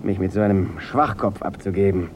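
An actor playing a bitter, menacing gangster out for revenge in a German radio drama. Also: male voice, sits low, fairly even intonation, brisk. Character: bitter vengeful male gangster holding a gun. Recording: dull radio recording, noisy backdrop.

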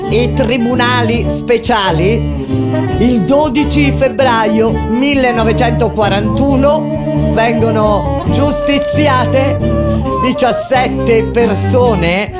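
A grave female resistance fighter recounting events in a stage monologue. She speaks slowly and solemnly, with deliberate emphasis, while guitar and other instruments play loudly behind her. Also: on the high side. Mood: grave, intense. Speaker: stage actress narrator. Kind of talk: dramatic theatrical monologue over live music. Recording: loud live music under the voice. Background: guitar and instrumental music.

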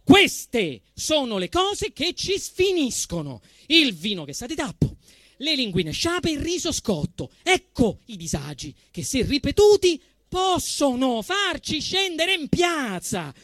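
A man with a Roman accent performing a satirical monologue on stage, emphatic and swinging between deadpan restraint and outrage. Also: very high-pitched, slow pace, no echo, studio quality. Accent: Roman Italian. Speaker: actor performing a comic monologue. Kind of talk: theatrical satirical monologue to a live audience.

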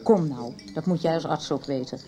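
A woman's voice: low-pitched, moderate pace, music in the background, lightly acted. An actress playing an outspoken, socially critical woman in a Dutch radio soap. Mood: combative. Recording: background chatter.

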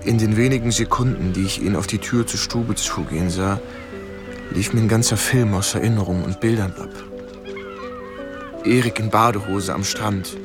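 Casting: male actor as anxious young soldier bluffing as an officer, radio play, lowish voice, music bed. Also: moderate pace, lightly acted. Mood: reflective, tense. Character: cornered young American soldier John, anxious, pleading, posing as German officer. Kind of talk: radio drama acting and first-person narration.

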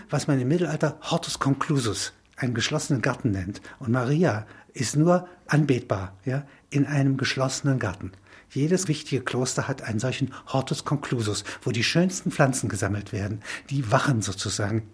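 A man in reflective intellectual conversation, musing in halting phrases punctuated with small affirming tags. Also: mid-range voice, a little mellow, breathy edge. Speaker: interviewee, filmmaker.

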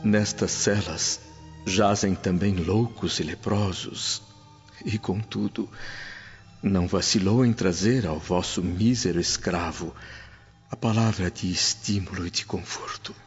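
A steadfast, devout freedman in prison in a radio drama. His lowish voice is solemn and reverent, full of humble gratitude, in dull audio with music clearly behind. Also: unhurried. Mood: weary, grateful.

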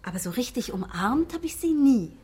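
An actress playing a brusque, impatient shop saleswoman in a radio play, with a husky voice. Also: a little high, big pitch swings, moderate pace, darkish tone, exaggerated voice. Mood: chatty, uneasy. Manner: blunt, impatient. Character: bored, brusque phone-shop saleswoman, gossipy and squeamish.

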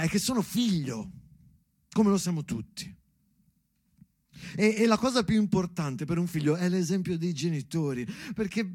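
A man performing an earnest, emphatic theatrical monologue as a stage narrator, as if speaking to his child. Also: sits high.

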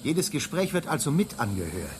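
A man's voice: a little high, a little fast, background noise. An actor in a radio drama playing an impatient, sarcastic human crewman on a space station. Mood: agitated, demanding. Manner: impatient, mocking, excitable. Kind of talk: German radio drama acting.

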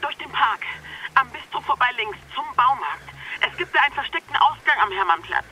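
An actress playing an alert, decisive woman guiding a friend by phone in a German radio play, brisk and urgent. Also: high voice, animated, pushed and bright, slight background noise, rough recording.

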